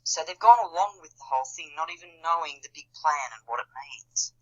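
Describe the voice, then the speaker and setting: A man's voice: a little high, lively intonation, fairly quick, dull audio. A man in casual group chat, talking earnestly and rambling, with many fillers and restarts. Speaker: chat participant.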